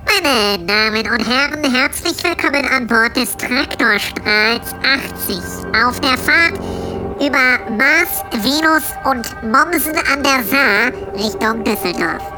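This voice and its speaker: A high-pitched female alien conductor, acted by a woman in a comic radio play. She speaks very slowly and formally, like a polite train announcer, over prominent music. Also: lively intonation, poor audio. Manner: slow, formal announcer delivery. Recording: loud music bed.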